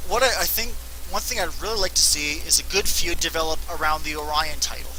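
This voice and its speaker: A man's voice: a little high, brisk, pushed and bright, slight background noise, poor audio. A man answering fan questions in a casual, rambling, self-mocking way, laughing often. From Canada. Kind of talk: casual unscripted Q&A.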